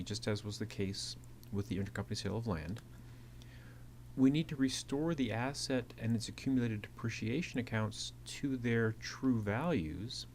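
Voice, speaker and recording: A man's voice: lowish voice, a little mellow. A man giving a methodical explanatory lecture as an instructor, repeating key points as he goes. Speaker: accounting instructor. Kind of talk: explanatory lecture narration over slides. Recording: clearly audible hiss and hum.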